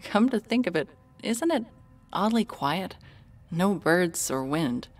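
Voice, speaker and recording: Low-voiced, calm young investigator. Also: female voice, takes it slowly, faint music behind. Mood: curious, concerned. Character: calm, observant young woman researching vampires. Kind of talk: audio drama acting, explanatory.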